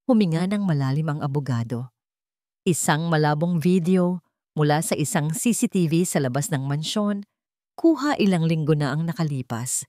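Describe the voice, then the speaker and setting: A woman's voice: deep voice, darkish tone, no room sound, clean studio sound. A woman narrator reading a dramatic scripted love story. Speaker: narrator of Tagalog love stories.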